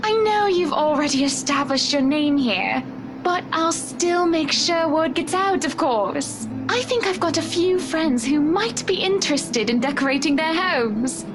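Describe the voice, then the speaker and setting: A well-bred society wife for a visual novel. Her voice sits high, with an affectionate, dramatic and fretful manner, as if used to being pampered. Music plays behind her. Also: measured pace. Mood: gracious, insistent. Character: anxious, pampered high-society pregnant wife, gracious and insistent.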